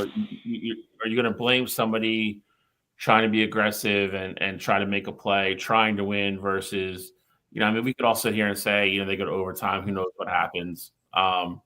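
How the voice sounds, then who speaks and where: A man co-hosting a sports podcast, measured and hesitant, often restarting his sentences. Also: lowish voice, bright, forceful tone. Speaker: podcast co-host. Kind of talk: casual sports discussion.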